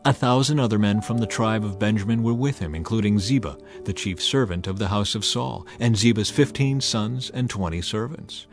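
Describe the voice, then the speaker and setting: A man's voice: fairly low pitch, moderate pace, hardly any pauses, music in the background. A man narrating scripture as a scripted, dramatized audio reading. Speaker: Bible narrator.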